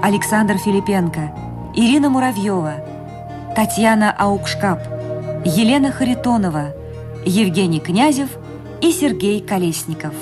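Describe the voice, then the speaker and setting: Woman radio announcer over clear music. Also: medium pitch, expressive, moderate pace, long pauses. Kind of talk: scripted broadcast credits announcement. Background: music under the voice.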